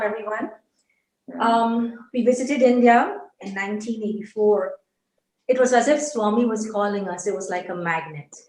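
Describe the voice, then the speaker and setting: A woman's voice: medium pitch, a little mellow, roomy sound, low-quality recording. A woman from Barbados, an older interview guest, earnestly recounting a personal spiritual journey with frequent restarts. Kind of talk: personal testimony in conversation.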